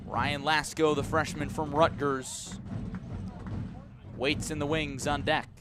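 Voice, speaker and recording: Higher-voiced man calling baseball play-by-play. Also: slow pace, background noise. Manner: excited calls on big plays. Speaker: radio baseball play-by-play announcer. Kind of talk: live sports commentary.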